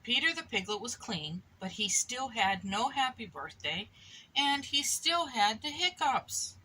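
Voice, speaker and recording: A woman reading a picture book aloud expressively to a child, pausing for little asides. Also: on the high side, very animated, bright, forceful tone, slight background noise, rough recording. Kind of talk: animated storybook read-aloud to a child.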